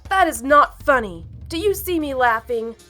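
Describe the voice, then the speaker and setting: Woman playing female bat adventurer, highish voice, self-assured, sassy, unimpressed, audio drama. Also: animated, normal speed, sharp tone, faint music behind. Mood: unamused. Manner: sassy, sceptical. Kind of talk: fan audio drama acting.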